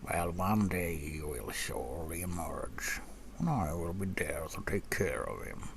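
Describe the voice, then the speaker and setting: Very deep, gravelly vampire hunter played by a man. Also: expressive, exaggerated voice. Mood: musing, matter-of-fact. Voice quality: gravelly, breathy half-whisper. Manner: slow, rambling, muttering with casual asides. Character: gruff, seasoned male vampire hunter mentoring a rookie. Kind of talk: soft-spoken ASMR roleplay, often whispering. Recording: clearly audible background noise.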